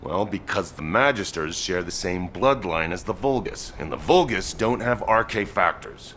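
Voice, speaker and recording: An actor playing a gruff, pragmatic squad commander in a video game, blunt and commanding. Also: male voice, deep voice, lively intonation, normal speed, fairly bright tone, slight background noise. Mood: dry, serious.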